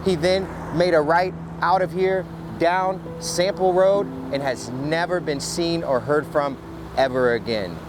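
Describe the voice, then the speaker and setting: A man hosting an investigation series, presenting to camera in a deliberate, emphatic way. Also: on the high side. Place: outdoors at a gas station. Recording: noisy outdoor roadside audio.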